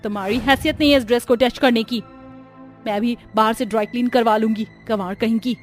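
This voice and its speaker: A haughty young sister-in-law from a cartoon family drama, acted out by a woman. She speaks in a highish female voice, sharp and contemptuous, ordering people about, with music playing behind. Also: steady tone, fairly quick, natural voice. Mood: scornful.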